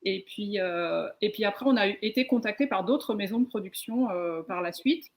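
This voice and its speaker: A woman's voice: mid-range voice, normal speed. A woman speaking as a comic book writer on a panel, hesitant and often restarting her phrases. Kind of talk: spontaneous panel account.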